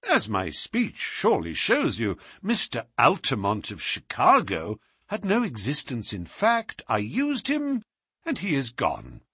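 Male narrator as suave detective, audiobook, wry, unruffled, politely ironic, very animated intonation, dry close recording. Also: mid-range voice, a little slow, slightly rough, no echo, full character voice. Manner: courteous, ironic, precise, unruffled. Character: urbane, wry, self-assured English gentleman detective.

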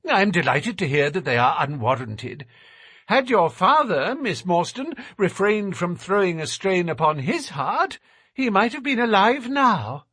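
A man voicing a twitchy, fussy young man with a thin, high voice and an anxious manner. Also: very animated, a little slow, fairly bright tone, breathy edge, no room sound, studio quality, full character voice. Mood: fussy, nervous. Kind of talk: audiobook character reading.

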